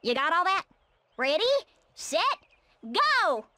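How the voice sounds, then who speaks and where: A woman's voice: extremely high pitch, very animated, takes it slowly, pushed and bright, dry recording, exaggerated voice. A woman voicing a feisty, sporty squirrel in a cartoon video game, with a folksy, brash Texan drawl. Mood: competitive, confident. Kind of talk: animated video game voice acting.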